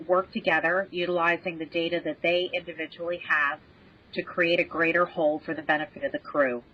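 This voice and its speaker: Woman guest on a podcast, pretty deep voice, talking through stuff casually, muffled line. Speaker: podcast guest, medical association president. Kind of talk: conversational explaining and recounting. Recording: dull, band-limited call audio.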